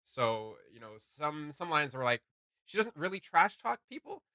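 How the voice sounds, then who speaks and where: Bright-voiced man chatting casually. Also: on the high side, very animated, moderate pace, dry recording, clean studio sound. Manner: hesitant, rambling, searching for words. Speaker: reaction video host. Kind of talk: casual chat reacting to game voice lines.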